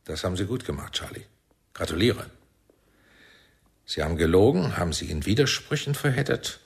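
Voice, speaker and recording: Older spy boss in a radio play, low husky voice, sly and commanding. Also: male voice, big pitch swings, exaggerated voice. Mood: approving, controlled. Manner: deliberate, probing, swinging from soft to barking. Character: shrewd, commanding older male Israeli intelligence chief, paternal and sardonic. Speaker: actor in radio drama. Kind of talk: German radio drama acting.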